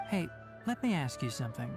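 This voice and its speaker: Low, animated no-nonsense young healer. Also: female voice, fairly quick, exaggerated voice. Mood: firm, reassuring. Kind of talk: video game voice acting. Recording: game music behind.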